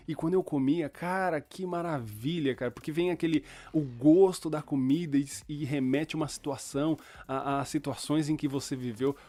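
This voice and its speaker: A man's voice: mid-range voice, darkish tone, no echo. Chatty young man, dry close mic. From Chile. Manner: chatty, informal, fillers like "cara". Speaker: podcast host.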